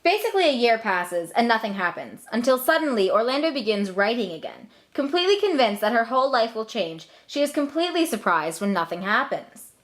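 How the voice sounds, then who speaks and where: A woman's voice: fairly high pitch, big pitch swings, a little fast, soft background music. A young woman narrating a comic scripted story in a flippant, casual manner.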